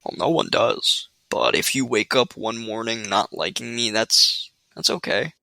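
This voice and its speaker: A man's voice: mid-range voice, measured pace, creaky, pushed and bright. An actor playing a wry young man with dry, teasing humor who looks after his sick date in a radio play.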